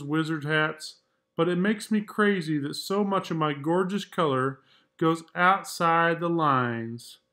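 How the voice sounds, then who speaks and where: A man's voice: a little high, fairly bright tone. A man voicing a fussy, vain, neat purple crayon from a storybook, prim and indignant.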